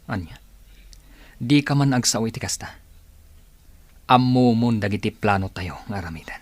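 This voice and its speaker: A man's voice: lowish voice, lively intonation, normal speed, no echo, natural voice. A man playing a stern, impatient rebel plotter in an audio drama, firm and insistent.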